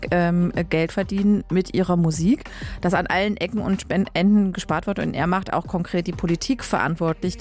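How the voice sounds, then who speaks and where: A woman's voice: lowish voice, darkish tone. A woman from Leipzig presenting music radio in a casual, colloquial, emotive way. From Leipzig, Germany.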